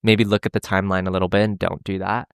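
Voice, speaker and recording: A man's voice: low voice, flat delivery, quick pace, gravelly, clear, resonant tone, no room sound, studio quality. A young man hosting a podcast, chatting candidly and rambling about dating, with lots of restarts and "like" fillers. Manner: rambling and candid, heavy use of "like", with frequent restarts. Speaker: podcast host. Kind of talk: casual chat about dating.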